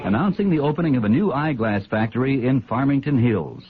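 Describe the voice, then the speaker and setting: A man's voice: medium pitch, lively intonation, a little fast, soft background music. A man announcing a scripted local radio commercial. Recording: muffled vintage radio broadcast.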